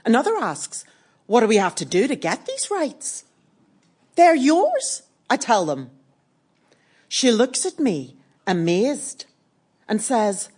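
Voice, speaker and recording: A woman's voice: on the high side, slightly rough, full character voice. A woman playing an earthy, wry activist, telling her story in a documentary stage monologue. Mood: warm wonder. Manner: measured, expressive storytelling.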